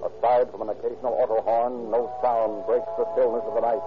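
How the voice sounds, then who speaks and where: A man narrating an old radio crime drama with a measured, dramatic storytelling cadence. The voice sounds thin and tinny, like a 1930s broadcast, and music plays clearly behind him. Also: mid-range voice. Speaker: announcer and narrator.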